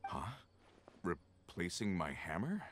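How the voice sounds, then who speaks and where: Low-voiced weary carpenter. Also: male voice, big pitch swings, takes it slowly, a bit bright, exaggerated voice. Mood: puzzled, pondering. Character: weary working carpenter, male, discontented family man. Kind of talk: video game character acting.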